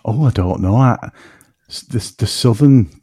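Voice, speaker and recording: A man's voice: mid-range voice, measured pace, warm tone, breathy edge, dry recording, clean studio sound. Man with a northern English accent. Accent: northern English, between Manchester and Liverpool. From North West England. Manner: rambling, self-deprecating, drifts off topic. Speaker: podcast guest. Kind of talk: casual chat.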